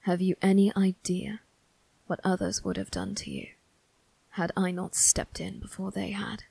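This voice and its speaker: A woman playing a cold, menacing young male vampire crime boss in an ASMR roleplay, speaking in a breathy, husky half-whisper with a measured, condescending manner. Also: medium pitch, little pitch movement, dark tone, no room sound, full character voice. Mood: condescending menace. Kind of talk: ASMR character roleplay addressed to the listener.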